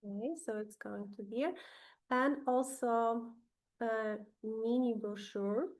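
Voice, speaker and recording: A Polish-accented woman presents a webinar over a video call. She speaks slowly in a slightly high voice and fills her many pauses with ums and uhs. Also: fairly even intonation, low-quality recording. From Poland.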